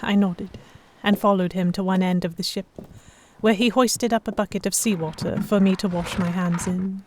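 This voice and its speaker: A woman's voice: mid-range voice, steady tone, moderate pace, background noise, natural voice. A woman playing an earnest, emotive noblewoman heroine who narrates an audio drama in the first person. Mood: tense, reflective. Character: delicate young noblewoman, vexed, earnest, stubborn romantic heroine.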